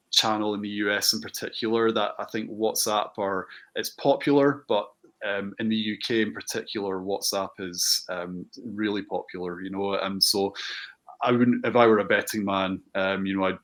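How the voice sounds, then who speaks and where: A man's voice: on the low side, fairly even intonation, moderate pace, a bit bright. A man speaking as a company director presenting a product, in a conversational, hesitant way with repeated words.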